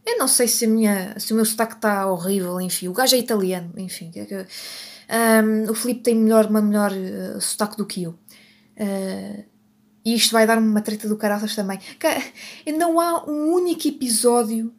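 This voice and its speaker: A woman's voice: medium pitch, normal speed, darkish tone. A Portuguese woman hosting a podcast, chatting without a script, often correcting herself and laughing at her own slips. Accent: European Portuguese. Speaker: podcast host. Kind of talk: unscripted solo podcast chat.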